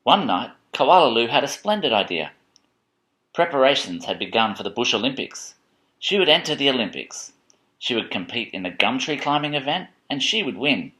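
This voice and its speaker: Animated man reading a bedtime story. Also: mid-range voice, moderate pace. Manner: expressive, playful, dramatic storybook emphasis. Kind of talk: animated picture-book read-aloud with chatty asides.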